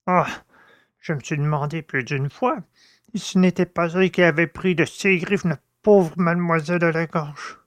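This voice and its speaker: A man playing a gruff, superstitious old servant who grumbles uneasily in rustic, exclamatory talk in an audiobook drama. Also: on the high side, steady tone, moderate pace, no echo, lightly acted. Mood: agitated.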